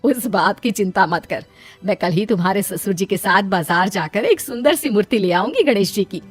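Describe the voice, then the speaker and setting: A woman's voice: medium pitch, expressive, moderate pace, slightly rough, soft background music. A woman voicing an older, caring and fussy mother-in-law in a cartoon story, motherly and inquisitive. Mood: reassuring.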